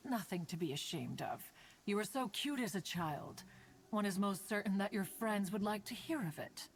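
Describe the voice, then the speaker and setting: A woman's voice: medium pitch, animated, moderate pace, breathy edge. A woman voicing an ancient, dignified, motherly female immortal in a video game, with stately, archaic formal speech. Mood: composed, coaxing. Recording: soft game music behind the voice.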